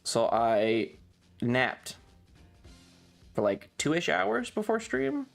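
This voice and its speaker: A man's voice: a little high, wildly swooping pitch, extremely slow, gravelly, soft background music. A man chatting casually as a livestream host, sweary and self-deprecating, sounding slightly tired. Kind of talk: casual rambling stream chat.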